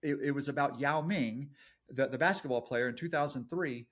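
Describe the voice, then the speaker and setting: A man's voice: medium pitch, normal speed, fairly bright tone. A middle-aged man with an East Texas accent, a guest telling his life story in a jokey, self-deprecating way. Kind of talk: casual storytelling interview.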